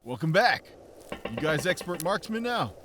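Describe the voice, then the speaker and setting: A man's voice: a little high, sing-song, normal speed, fairly bright tone. Lazy wisecracking survivor, a man acting. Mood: joking. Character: lazy, wisecracking survivor who stays at camp. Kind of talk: comic dub voice acting. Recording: noticeable background ambience noise.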